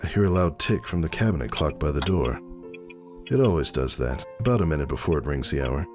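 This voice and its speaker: Man as a brooding, very low-voiced pony husband. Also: animated, brisk, darkish tone, faint music behind. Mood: somber. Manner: somber, dramatic first-person telling. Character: brooding male pony husband, anxious and suspicious. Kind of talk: dramatic audiobook narration.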